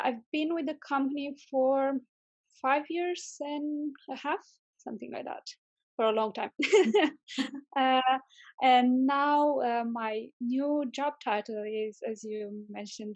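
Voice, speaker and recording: Female interviewee, higher pitch, dry sound, casual, hesitant but keen delivery. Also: no echo, highs cut off. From Romania. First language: Romanian. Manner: hesitant, searching for words, enthusiastic. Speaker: interview guest, tech manager.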